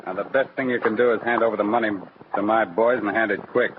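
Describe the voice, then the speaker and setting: A man's voice: lowish voice, steady tone, quick pace, background noise, natural voice. Man acting menacing gang boss, muffled old radio. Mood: cool menace. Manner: commanding, smugly menacing. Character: brazen male gang boss, cool and menacing bank robber. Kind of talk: 1940s radio crime drama acting.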